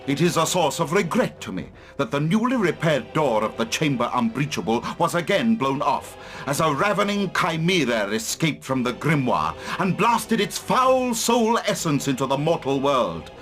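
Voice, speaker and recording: Pompous, animated wizard scholar. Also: male voice, a little high, moderate pace, soft background music. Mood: self-important. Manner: pompous, long-winded, theatrical. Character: pompous scholarly male wizard of occult lore. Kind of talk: fantasy video game cutscene acting.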